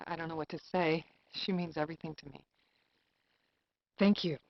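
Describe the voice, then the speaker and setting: Anxious middle-aged mother, low voice. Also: fairly even intonation, a little fast, no room sound. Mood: scared, tearful relief. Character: anxious, nagging mother of a sick daughter. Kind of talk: live-action game acting. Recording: dull lo-fi game video audio.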